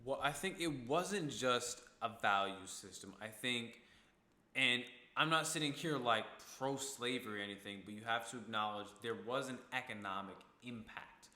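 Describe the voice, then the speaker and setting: A man's voice: medium pitch, normal speed, bright, forceful tone, roomy sound. A man from Ithaca, New York, co-hosting a casual discussion podcast, talking emphatically and swearing freely when worked up. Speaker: podcast co-host.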